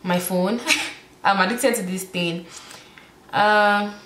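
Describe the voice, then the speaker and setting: A woman's voice: medium pitch, slow, echoey room. A woman in her twenties with a Nigerian accent, chatting casually to camera in a bedroom. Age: 20s. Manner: chatty, expressive, peppered with "like". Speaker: YouTuber. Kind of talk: casual Q&A vlog. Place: bedroom, indoors.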